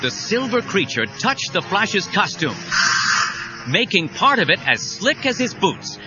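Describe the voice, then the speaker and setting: High-pitched, animated male story narrator. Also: unhurried. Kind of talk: dramatic scripted superhero story narration. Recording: dull cassette audio with music.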